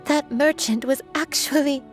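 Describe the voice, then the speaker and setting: A woman voicing a gentle, remorseful crane spirit in a video game, earnest and confessional in formal phrasing. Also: high voice, fairly even intonation, unhurried, faint music behind. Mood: shaken, dawning realization. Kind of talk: scripted video game dialogue.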